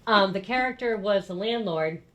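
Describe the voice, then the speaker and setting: A woman's voice: medium pitch, normal speed. A woman telling a casual anecdote in a rambling, conversational way at a live discussion. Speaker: community theater actor in the audience. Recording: noisy room at a live event.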